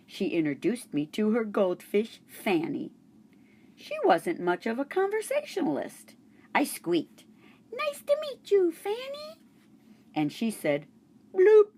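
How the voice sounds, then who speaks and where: A woman reading a children's chapter book aloud, narrating in first person with an expressive storytelling voice. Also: low-pitched, slightly rough. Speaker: storybook reader.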